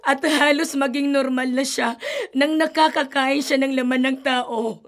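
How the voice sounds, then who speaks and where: A high-pitched woman's voice, an anguished mother pleading and confessing in an emotional rush. It is a studio radio drama performance. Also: steady tone, measured pace. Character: desperate grieving mother.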